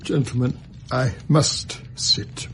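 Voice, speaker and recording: A British man playing an ageing gentleman broken by grief in a radio drama, formal, faltering and creaky. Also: mid-range voice, fairly even intonation, slow, faint music behind. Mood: shattered, weary.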